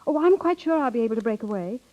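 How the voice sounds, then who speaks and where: Earnest young sister, lively high voice, woman acting. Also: fast, lightly acted. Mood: bright, flustered. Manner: earnest, protesting, dryly witty. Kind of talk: 1930s radio drama acting. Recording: muffled vintage 1930s radio broadcast.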